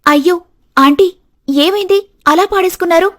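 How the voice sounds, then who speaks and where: Woman putting on a high-pitched, eager young woman. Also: normal speed. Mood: concerned, helpful. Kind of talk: animated moral story dialogue.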